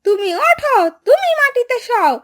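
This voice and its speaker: A woman with a Bangladeshi accent voicing a quick-tempered, stubborn young boy in a cartoon. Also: very high-pitched, sing-song, a bit bright, exaggerated voice. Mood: annoyed. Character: hot-headed young boy football fan. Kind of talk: cartoon voice acting.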